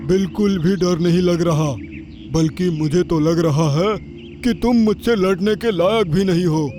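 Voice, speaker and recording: A man's voice: high-pitched, unhurried, dark tone, a little hiss or hum. A man voicing a bold, boastful, clever fat elephant in a Hindi cartoon story. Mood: confident.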